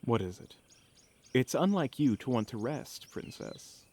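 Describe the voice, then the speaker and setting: A man's voice: mid-range voice, sing-song, a little slow, darkish tone. A man playing a refined, slightly pompous travelling companion in an audio roleplay. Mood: dry, cultured.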